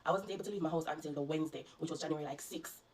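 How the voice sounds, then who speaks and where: A woman's voice: low voice, very fast, a little mellow. A Jamaican woman vlogger, congested and coughing, earnestly recounting her illness to her viewers and warning them. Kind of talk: personal storytelling to viewers.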